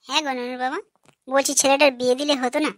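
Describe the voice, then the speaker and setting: A woman voicing a sharp-tongued, nagging village wife and mother in a comic cartoon. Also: high voice, little pitch movement, fast, heavy background noise, natural voice. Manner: snappy, nagging.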